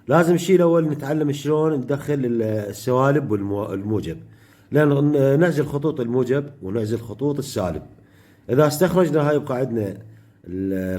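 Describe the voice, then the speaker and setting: Mellow-voiced Iraqi man explaining circuits. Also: mid-range voice. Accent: Iraqi Arabic. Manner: methodical, pauses mid-phrase to find the word. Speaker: electronics tutor. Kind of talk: step-by-step workshop tutorial.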